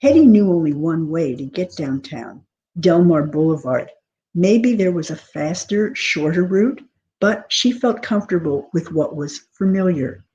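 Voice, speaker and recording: A woman with a fairly low, soft-edged voice reads literary narration. The delivery is expressive and scripted, as an author reading a novel. Some noise sits behind the voice. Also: measured pace, dull audio. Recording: clear background noise.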